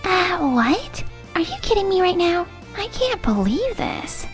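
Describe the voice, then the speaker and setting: A woman acts out a proud young girlfriend from a manga dub who has had enough. Her voice is high and swoops dramatically. She is blunt and scornful. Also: very animated, measured pace, over music, heavily acted. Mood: outraged, scornful. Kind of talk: animated manga dub dialogue.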